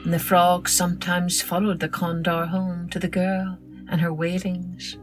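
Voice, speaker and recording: A woman narrating a children's story in an expressive, dramatic storytelling manner. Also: fairly low pitch, a little slow, over music. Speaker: storyteller, podcast host.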